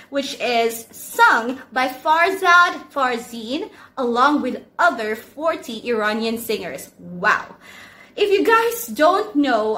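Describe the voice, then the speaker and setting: Woman, reaction vlog host, high voice, chatty and eager, a bit shy. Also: pushed and bright. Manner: chatty, enthusiastic, a little shy. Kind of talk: casual reaction vlog chat.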